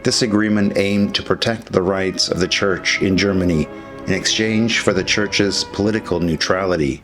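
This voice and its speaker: A man with a notably low voice delivers scripted historical narration in the role of a documentary narrator. His lines are read as prepared text, with faint music running behind the voice. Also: steady tone, moderate pace, fairly bright tone.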